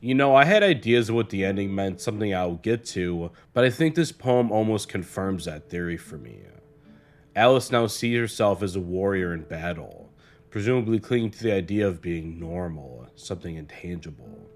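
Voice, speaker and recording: A man narrating a scripted video essay in a measured, earnest way. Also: sits low, expressive. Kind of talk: scripted analytical narration of a horror series. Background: guitar music.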